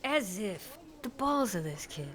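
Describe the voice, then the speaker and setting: A man's voice: sits high, sing-song, measured pace, fairly bright tone, voice pushed up, exaggerated voice. A man voicing a cheeky, affectionate young city woman in an audiobook. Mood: scornful. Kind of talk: audiobook character voice.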